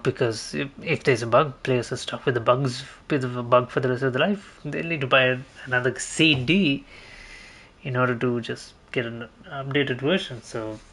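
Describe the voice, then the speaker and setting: A man's voice: medium pitch, steady tone, moderate pace, fairly bright tone, husky. A man giving casual gameplay commentary as a streamer, rambling and hesitant, often repeating himself. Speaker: game streamer.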